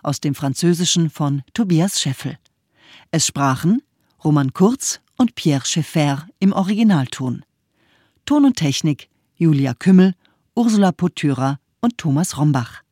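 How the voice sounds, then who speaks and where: A woman reading scripted radio play credits in a formal, clearly articulated way. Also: low-pitched, animated, unhurried, warm tone, no room sound, studio quality. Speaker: credits announcer.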